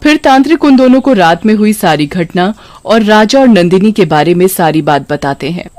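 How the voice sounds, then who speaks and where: A man's voice: high-pitched, animated, moderate pace, a little mellow, no echo. A man narrating a scripted story as the narrator.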